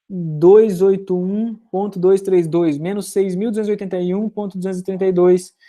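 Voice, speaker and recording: A woman giving a recorded university programming lecture, explaining step by step and often pausing to check that students follow. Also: mid-range voice, warm tone.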